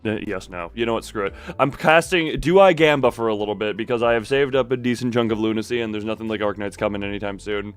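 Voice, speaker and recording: A man's voice: fairly low pitch, quick pace, few pauses, smooth, faint music behind. A man doing a casual, rambling game stream, playfully joking and talking back to his viewers.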